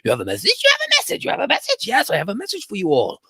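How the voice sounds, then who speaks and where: A storyteller voicing a flock of excitable parrots, squawking high and sing-song. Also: female voice, very fast, slightly rough, no room sound, studio quality, full character voice. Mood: eager. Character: chirpy excitable parrots in the forest.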